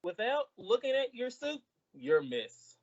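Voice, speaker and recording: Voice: mid-range voice, very animated, a little slow, lo-fi sound. A performer playing a bumbling, easily confused male sidekick in an old-time radio comedy, full of wisecracking retorts.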